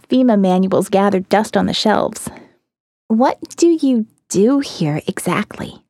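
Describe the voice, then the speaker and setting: A woman's voice: fairly high pitch, darkish tone, no echo, studio quality. A woman reading scripted first-person dramatic narration as the narrator of an audio novel.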